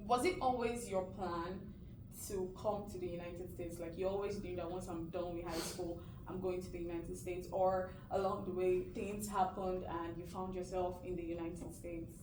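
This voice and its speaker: A woman's voice: fairly low pitch, fairly even intonation, normal speed. A young woman from Nigeria hosting a casual group chat, talking chattily with lots of fillers. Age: young adult. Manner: chatty, lots of "like" and "you know". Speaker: host. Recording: echoey room with background hiss.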